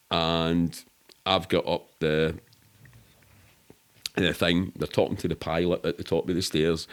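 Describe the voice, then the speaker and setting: A middle-aged man with a Glasgow-area Scottish accent telling anecdotes casually as a podcast guest, sweary and colloquial, acting out what people said. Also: low-pitched. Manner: sweary, colloquial, acts out quoted speech. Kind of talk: animated anecdotal storytelling, casual.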